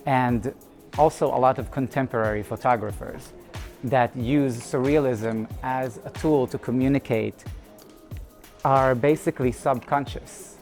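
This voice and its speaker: Man, artist's statement, measured and thoughtful, mid pitch, soft music behind. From Israel. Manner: thoughtful, measured, with pauses and restarts. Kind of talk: reflective personal statement.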